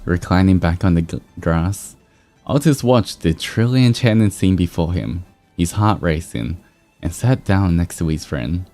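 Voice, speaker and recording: A man with a low, mellow voice hosts a stream and reads stories aloud. He takes his time, and his pitch moves around in a lively, amused way. Music plays behind him. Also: measured pace. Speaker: livestream host reading fan fiction aloud. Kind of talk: expressive story reading mixed with casual chat.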